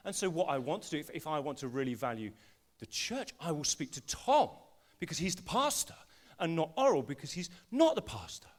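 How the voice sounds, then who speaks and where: A man's voice: fairly high pitch, fairly quick. A man preaching at a live church service, bringing people up from the congregation and building his points with rising emphasis. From England. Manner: animated, rising emphasis when making points. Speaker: pastor. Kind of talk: live sermon with volunteers. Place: school hall church service.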